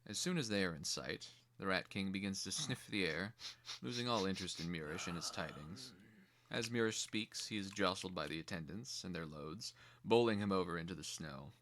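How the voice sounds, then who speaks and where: Low-voiced man narrating a fantasy drama. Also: fairly quick, a bit bright, a little hiss or hum.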